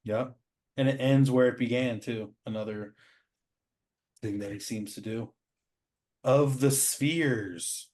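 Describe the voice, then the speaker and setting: A man talking as a show host in casual, informal commentary. His pitch sits in the middle for a male voice. He speaks with open admiration and drops in slangy asides. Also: slow, pauses often, darkish tone. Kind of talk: casual chat, commentary on comic art.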